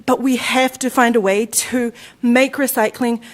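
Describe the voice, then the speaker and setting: Hesitant New Zealand woman, higher pitch. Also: level tone, a little slow. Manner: hesitant, many fillers and restarts. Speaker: public commenter. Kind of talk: public comment at a city council meeting.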